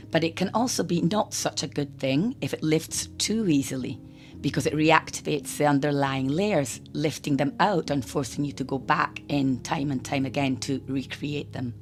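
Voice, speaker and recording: A woman hosting a watercolour channel, talking in a hoarse, croaky voice from a cold as she narrates a chatty tutorial voice-over. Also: low-pitched, moderate pace. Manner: chatty, explanatory, lightly humorous. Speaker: art channel host. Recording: voice-over with guitar music behind.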